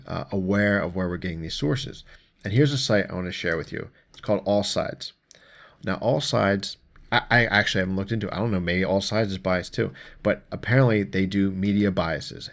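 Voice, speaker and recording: A man's voice: low-pitched, creaky. A man hosting an explainer show, giving casual news commentary and reading articles aloud in an informal, conversational way. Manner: informal, conversational, mildly profane.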